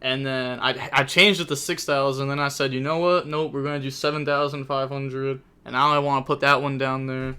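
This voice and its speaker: A man's voice: mid-range voice, level tone, fairly quick, hardly any pauses, pushed and bright, clean tone. A young man casually commentating a card game tournament on his live stream, chatty and rambling as he thinks aloud.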